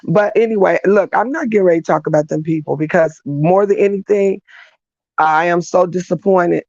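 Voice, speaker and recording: A woman guest on a livestream, telling history in a measured, conversational way. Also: lowish voice, darkish tone, no room sound, dull audio. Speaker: guest speaker.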